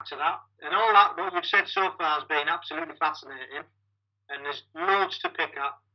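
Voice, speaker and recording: A man's voice: a little high, sing-song, a little fast, gravelly. A man hosting a podcast, interviewing casually and encouragingly over dull, narrow call audio. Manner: encouraging, with frequent short acknowledgements.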